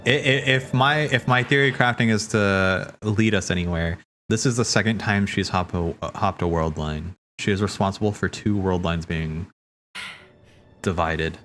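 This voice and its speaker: A man hosting a livestream, commenting casually on game cutscenes in an excitable, rambling, slangy way. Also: sits low, normal speed, slight background noise. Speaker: livestream host. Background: game soundtrack music.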